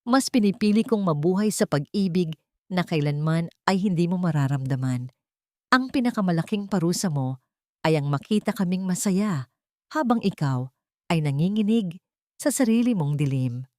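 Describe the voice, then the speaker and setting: A woman playing a wronged, resolute mother in an audiobook drama, quiet, firm and deliberate. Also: on the low side, animated, no echo, clean studio sound, close to an everyday voice. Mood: quiet resolve. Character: wronged, resolute mother facing her enemy.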